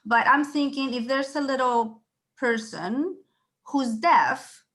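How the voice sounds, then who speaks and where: A woman's voice: high voice, takes it slowly, a bit bright, slight background noise. Woman thinking aloud on a call. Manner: thinks aloud with many restarts and asides. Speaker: client at a school. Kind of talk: video call meeting. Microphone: video call.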